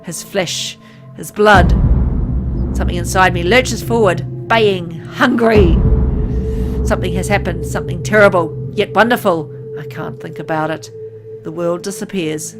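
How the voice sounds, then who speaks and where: A woman voicing a gravely ill man who is turning into a hungry vampire, reading the first-person game text aloud in her own plain voice, with game music underneath. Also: fairly low pitch, unhurried, lightly acted.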